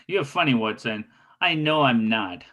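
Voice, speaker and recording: A man's voice: mid-range voice, lively intonation, takes it slowly, fairly bright tone. A man playing a self-assured, quirky and playful detective in an amateur script table read. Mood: smug, breezy.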